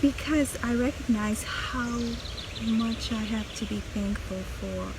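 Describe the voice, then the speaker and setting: Middle-aged woman host from Trinidad, reflective and unhurried, with background noise. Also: a little high. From Trinidad and Tobago. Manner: earnest, reflective, with frequent restarts. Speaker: vlog host. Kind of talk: personal storytelling vlog.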